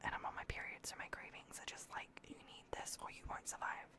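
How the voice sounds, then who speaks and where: A young adult woman chatting casually to livestream viewers in a hushed, breathy whisper with creaky edges, keeping her voice down. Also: fast. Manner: keeping voice down late at night, swearing freely.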